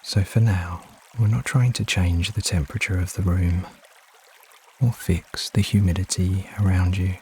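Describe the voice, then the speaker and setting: A man narrates a guided meditation in a low-pitched voice. He gives soothing instructions without hurry, leaving frequent pauses between phrases. A faint wash of trickling stream water runs behind him. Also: slight background noise. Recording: steady water ambience behind voice. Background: trickling stream water sounds.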